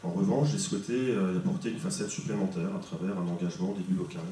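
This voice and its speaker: A man in his thirties gives an earnest campaign talk, with some hesitation. His voice is very deep and mellow. It is heard from afar in a strongly echoing room with faint hum. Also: fairly even intonation, fast talker, recorded from a distance. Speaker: candidate at a public meeting. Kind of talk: campaign speech at a public meeting. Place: small meeting room, indoors. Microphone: handheld mic, filmed by a far camera.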